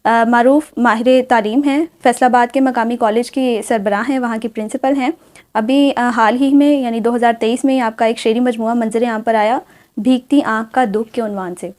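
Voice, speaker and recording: A woman's voice: a little high, steady tone, moderate pace, darkish tone. A Pakistani woman hosting a talk show in a formal, courteous and appreciative way. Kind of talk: formal, polite programme presenting.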